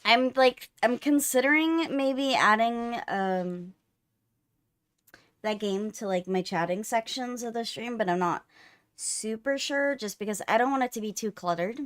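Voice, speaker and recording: A woman's voice: a little high, a little slow, no room sound. A woman hosting a livestream in casual chat, teasing her co-host playfully, close on the mic.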